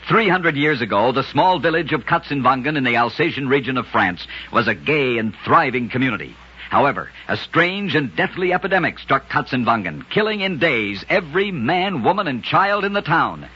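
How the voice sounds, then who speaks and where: A man's voice: medium pitch, sharp tone, slightly rough. A man narrating scripted curiosities for an old-time radio show, with a dramatic, emphatic storyteller delivery. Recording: dull, noisy vintage radio recording.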